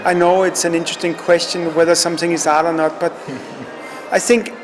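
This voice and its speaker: A man's voice: fairly high pitch, flat delivery, moderate pace, faint music behind, low-quality recording. A man from Denmark in his fifties, an artist answering interview questions in a reflective, hesitant way, often repeating himself.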